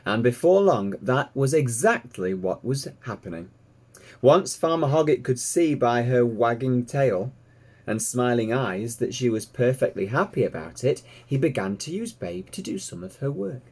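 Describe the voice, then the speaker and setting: A man narrating a children's story in an expressive read-aloud style. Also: mid-range voice, normal speed, husky. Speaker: storybook reader, teacher.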